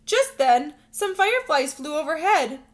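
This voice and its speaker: A woman teacher reading a picture book aloud to young children, expressive and instructional, pausing to put questions to them. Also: high-pitched. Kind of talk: animated read-aloud storytelling with questions to young learners.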